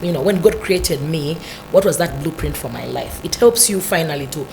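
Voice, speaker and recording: A Ugandan woman with a deep voice, a talk show guest explaining her ideas thoughtfully with many "you know" asides. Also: animated, normal speed, gravelly, darkish tone, faint music behind, rough recording.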